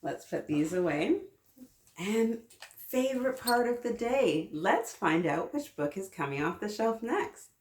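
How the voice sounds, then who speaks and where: A woman's voice: medium pitch, sing-song, a little slow, a little mellow. A woman in her thirties hosting a children's story time, reading aloud and encouraging the children with many questions. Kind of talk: read-aloud storytelling with children, chatting along. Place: small children's playroom, indoors. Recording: roomy echo, mic at a little distance.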